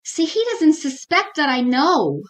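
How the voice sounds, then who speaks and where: A woman's voice: high-pitched, sing-song, unhurried. A woman playing a scatterbrained, easily flustered housewife in an old-time radio sitcom, with a fluttery comic delivery. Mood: cheerful, earnest.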